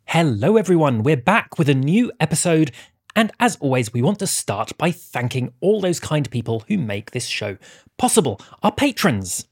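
A man with a fairly high, slightly rough voice reads a podcast introduction. His delivery is highly animated as he addresses listeners directly and appreciatively. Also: moderate pace. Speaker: podcast host. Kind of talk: scripted show introduction.

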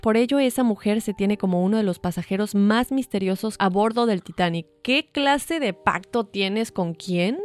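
A woman's voice: mid-range voice, normal speed, hardly any pauses. Chatty woman co-host. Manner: chatty storytelling, many fillers. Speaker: podcast co-host. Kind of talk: casual chat.

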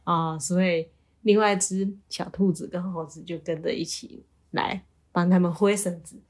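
A woman's voice: on the low side, steady tone, normal speed. A woman improvising a bedtime story for a child, prompting with questions and repeating key phrases. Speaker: storyteller, parent.

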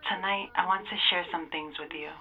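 A woman's voice: on the low side, steady tone, brisk, natural voice. A woman playing a teasing, scheming lover in an audio drama, heard over a thin phone line.

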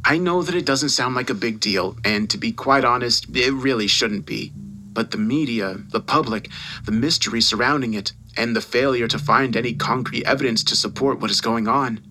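Forceful, domineering boss, acted out by a man. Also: medium pitch, a little hiss or hum, natural voice. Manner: condescending, mocking, forceful. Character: domineering, furious newspaper boss berating his employee over the phone. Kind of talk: dramatised audio story dialogue.

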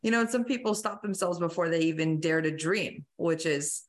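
A woman's voice: on the low side, a little fast. A woman hosting a podcast, chatting casually and effusively with lots of "like" and "you know.". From moved from Italy to the United States as a young child. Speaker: podcast host. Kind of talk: casual enthusiastic chat.

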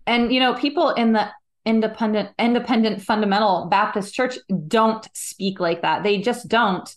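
A woman's voice: fairly high pitch, fairly even intonation, moderate pace. A woman guest on a podcast, explaining her views in a thoughtful, casual way. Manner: thoughtful, explanatory, frequent "like" fillers. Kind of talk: casual conversational interview.

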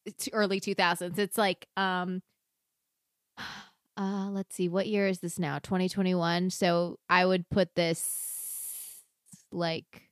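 A woman co-hosting a casual show discussion, animated and acting out quoted lines, laughing often. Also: on the low side, slow pace, no room sound, clean studio sound. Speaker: podcast co-host. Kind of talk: casual chat.

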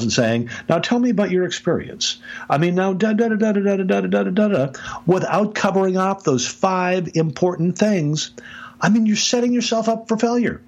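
A man's voice: fairly high pitch, husky, lo-fi sound. A man hosting a podcast, giving emphatic business advice in a conversational way and often saying "I mean.". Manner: emphatic, animated, repeats "I mean". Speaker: podcast host, business speaker.

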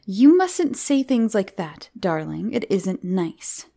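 A woman's voice: medium pitch, sing-song, measured pace, darkish tone, exaggerated voice. A woman voicing a vain, impatient young mother who scolds and gets cross, in a story reading. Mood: cross scolding. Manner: scolding, exasperated, breathy asides.

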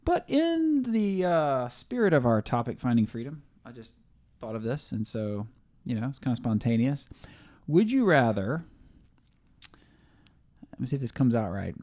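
A man's voice: mid-range voice, sing-song, moderate pace, pauses often, a little mellow. A man co-hosting a podcast, speaking casually and thinking aloud as he sets up a question. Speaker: podcast co-host. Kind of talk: casual chat.

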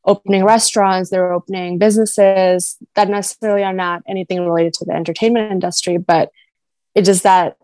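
A woman's voice: medium pitch, a little mellow, dry recording. Chatty American woman, dry sound. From United States. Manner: chatty, lots of "like", acts out quoted people. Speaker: podcast guest. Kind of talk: casual conversation.

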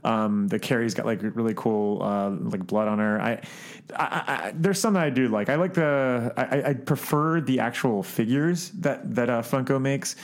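A man co-hosting a podcast in casual chat, with a halting, rambling way of talking. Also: mid-range voice. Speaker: podcast co-host. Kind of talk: casual chat about books, films and collectibles.

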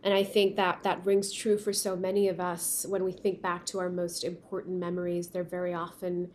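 A woman's voice: lowish voice, completely flat delivery, moderate pace. Pakistan-raised woman founder, conversational. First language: Urdu. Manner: warm storytelling with frequent restarts. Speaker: guest, company founder. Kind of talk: remote interview conversation. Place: remote call from home.

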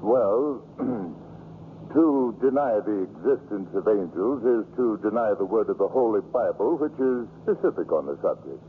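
An actor playing a learned, halting clergyman who expounds scripture in a 1950s radio drama. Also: fairly low pitch, sing-song. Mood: earnest, halting. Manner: halting, scholarly. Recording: muffled, hissy 1950s radio broadcast.

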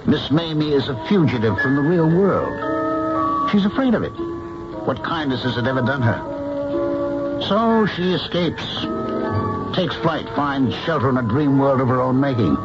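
An actor playing a gentle, courteous artist husband, speaking earnestly in radio drama style. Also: male voice, medium pitch, animated, unhurried, long pauses, dull audio, loud music behind the voice, close to an everyday voice. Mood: impassioned.

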